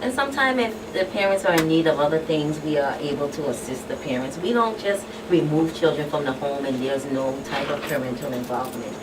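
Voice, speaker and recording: Female expert guest, Caribbean accent, notably low register, emphatic and plain-spoken; off-mic with noisy background. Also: fairly quick, few pauses, distant mic. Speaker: guest expert, child protection official. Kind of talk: live radio talk show discussion.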